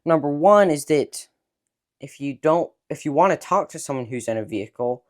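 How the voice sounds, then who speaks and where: A man's voice: fairly high pitch, animated, normal speed. Man walking through radio controls step by step. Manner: explains step by step, points at controls. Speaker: son explaining a radio. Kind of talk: casual product demonstration.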